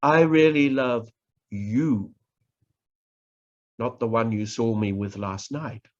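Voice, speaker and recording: A man with a New Zealand accent giving a public speaking workshop, talking in a measured, deliberate way and stressing words to demonstrate. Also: medium pitch. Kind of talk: slow instructional presentation. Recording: dull lo-fi recording.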